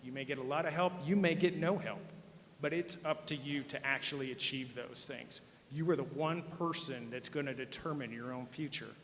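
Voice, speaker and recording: A man's voice: medium pitch, moderate pace, a bit bright, recorded from a distance, a little hiss or hum. Folksy man speaking in echoey gym. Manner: folksy, joking, addresses the crowd directly. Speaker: hall of fame inductee speaker. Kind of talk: speech to high school students. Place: large school gym.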